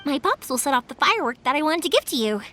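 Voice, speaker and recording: A woman's voice: high-pitched, big pitch swings, quick pace, a bit bright, a little hiss or hum. A woman playing a cheerful, talkative, kind-hearted young woman in an anime-style video game, chatty and earnest.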